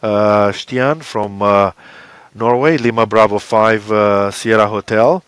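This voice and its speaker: A man with a low, smooth voice explains plans as an interviewed team leader. His delivery is hesitant, broken up by very frequent uhs. The sound is dry and close. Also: steady tone, takes it slowly, a bit bright, no room sound.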